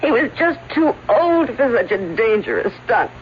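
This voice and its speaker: An upset showman's wife, high voice, emotional, muffled old radio drama. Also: sing-song, moderate pace. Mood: distressed, regretful. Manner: emotional, insistent. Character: distressed wife of a stage escape artist. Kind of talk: 1970s radio drama acting. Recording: muffled, faintly noisy old radio broadcast.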